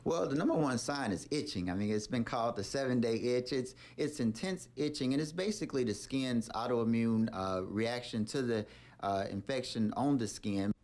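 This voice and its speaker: A man's voice: medium pitch, moderate pace, a little mellow. A man giving an explanation as an expert interviewed for a news report.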